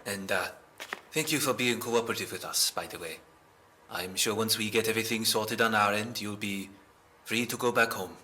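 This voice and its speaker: A man plays a calm police officer in an audio drama. His voice sits fairly low with a strongly bright edge. He questions in a measured, courteous manner. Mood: friendly, low-key. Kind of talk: amateur audio drama acting.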